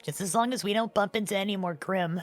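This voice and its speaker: A woman playing a dutiful young queen in an animated fan dub, courteous and earnest, a little hesitant and unsure. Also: mid-range voice, fast talker, faint music behind, lightly acted. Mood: earnest, polite.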